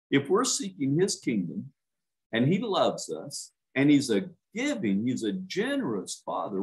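A man's voice: mid-range voice, low-quality recording. An older man, a minister, preaching a sermon to a home church over a webcam, earnest and emphatic, stretching out key words.

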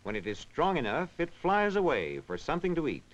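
A man reading scripted educational narration in a measured, instructional, clearly enunciated way. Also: fairly high pitch, wildly swooping pitch. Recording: dull, band-limited vintage film soundtrack.

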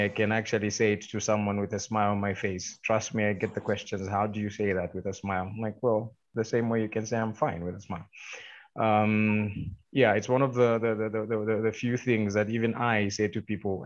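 A Zambian man with a fairly low voice talks casually and with humor, trailing off into ums and uhs. The sound comes through a dull, lo-fi video call line. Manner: relaxed, joking, many fillers. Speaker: invited speaker. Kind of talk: online meeting talk.

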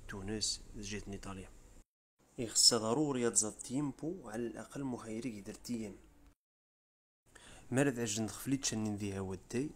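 A man's voice: lowish voice, a little hiss or hum. A man with a Riffian accent, from the Rif region of Morocco, giving an informal step-by-step tutorial as a voice-over. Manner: brisk, informal, step-by-step explaining. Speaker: tutorial presenter. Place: voice-over, not the pictured scenes.

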